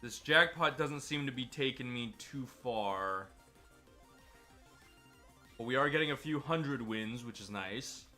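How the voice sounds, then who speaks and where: Stuffy streamer dude, bright tone, gets hyped over wins, faint music behind. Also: male voice, medium pitch, measured pace, long pauses. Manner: chatty, excitable over wins. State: stuffy, slightly sick. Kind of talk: gameplay commentary.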